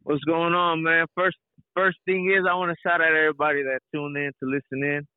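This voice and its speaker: A man's voice: a little high, level tone, fairly quick, clean tone, no room sound. Young man on a tinny phone line. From Mexico, raised in Houston, Texas. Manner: peppers talk with "you know". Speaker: guest, rapper and reggaeton singer. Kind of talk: casual interview chat.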